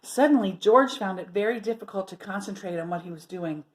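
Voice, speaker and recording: A woman storyteller reading aloud to children expressively, pausing to put questions and asides to her listeners. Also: mid-range voice, poor audio.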